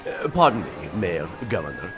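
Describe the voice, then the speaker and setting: An actor playing a flamboyant, oily high-society villain hosting a party in a video game, theatrical, gushing and halting. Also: male voice, big pitch swings. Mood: smarmy charm. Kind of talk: video game cutscene acting. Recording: loud music behind the voice.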